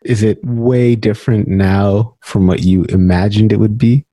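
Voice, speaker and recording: Man, podcast host, husky, upbeat, dry close mic. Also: on the low side, fairly even intonation, unhurried, warm tone, no room sound. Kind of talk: podcast interview.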